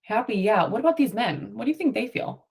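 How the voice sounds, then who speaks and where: A woman's voice: fairly high pitch, lively intonation, fairly quick, creaky, a little mellow. A woman teacher reading a picture book aloud to children and prompting them with questions, affirming their answers.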